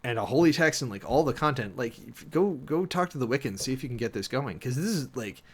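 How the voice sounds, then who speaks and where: A man with a mid-pitched voice hosts a livestream, moving between formal reading and casual commentary. He talks informally, with halting asides and dramatic emphasis on key phrases. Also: animated, slightly rough. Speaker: livestream host. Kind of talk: reading a document aloud with off-the-cuff commentary.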